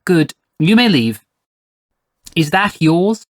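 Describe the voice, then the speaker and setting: A man's voice: a little high, very animated, takes it slowly, pitched above the performer's own voice. A man voicing a haughty, stingy queen with an imperious, clipped way of bargaining, in a storybook reading. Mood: haughty, dismissive. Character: haughty, stingy, commanding queen, mother of a child.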